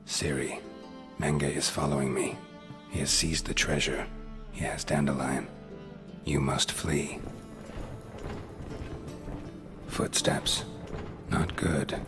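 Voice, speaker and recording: A stoic game monster hunter, super deep gravelly voice, clipped and dry. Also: male voice. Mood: brooding, murmuring. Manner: terse, clipped, deadpan. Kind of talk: video game cutscene acting.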